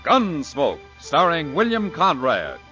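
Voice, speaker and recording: Animated male old-time radio announcer. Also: fairly high pitch, slow pace. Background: music.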